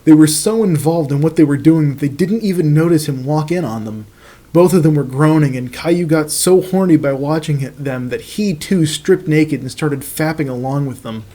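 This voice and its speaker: A man's voice: on the high side, moderate pace, warm tone. A man reading fan fiction aloud as host, in a comic narration with profane, mocking casual asides.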